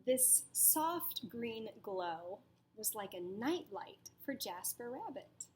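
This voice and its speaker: A woman teacher reading a picture book aloud to children in a deliberate, dramatic way, pausing to ask them questions. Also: a little high, very animated, darkish tone, a little hiss or hum. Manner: deliberate, dramatic storytelling delivery.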